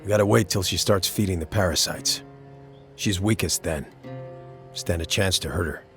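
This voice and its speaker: A man's voice: low voice, normal speed, a bit bright. A man playing a world-weary, blunt monster-hunting mercenary in a fantasy game, laconic and matter-of-fact. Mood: guarded. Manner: laconic, matter-of-fact, clipped colloquial phrasing. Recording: background music under the dialogue.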